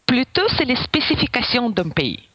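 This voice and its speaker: A middle-aged woman from Ukraine with a clear Ukrainian accent, speaking French as a second language. She talks in an unhurried, searching way, pausing often to find the right word. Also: fairly high pitch, big pitch swings, sharp tone. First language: Russian. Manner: halting French with pauses and searching for words. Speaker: radio guest. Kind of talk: casual radio interview.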